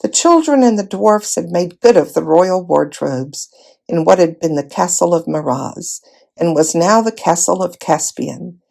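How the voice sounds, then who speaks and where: A woman reads a fantasy storybook aloud. Her voice sits low and relaxed, with a noticeable creaky edge. She delivers the narration expressively, as a volunteer reader would. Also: measured pace.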